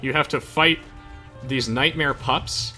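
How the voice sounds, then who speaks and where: A man's voice: mid-range voice, takes it slowly, sharp tone. A young man hosting a casual let's play, explaining the game with enthusiasm. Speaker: gaming video host. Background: faint game music.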